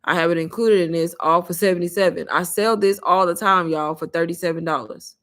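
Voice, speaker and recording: A woman hosting a casual livestream, pitching an online course and taking viewers' questions in a chatty, repetitive way while calling her viewers "y'all.". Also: steady tone, a little fast, fairly bright tone. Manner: chatty, repeats herself, addresses viewers as "y'all".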